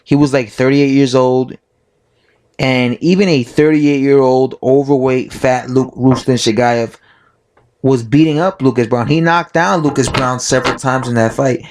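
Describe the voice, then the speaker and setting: A man talks casually with a hoarse, slightly sick voice. He rambles through his opinions as a boxing vlogger. He stops to correct himself and fills gaps with "uh" and "like". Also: mid-range voice, steady tone, moderate pace, a little mellow. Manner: rambling, full of fillers and self-corrections.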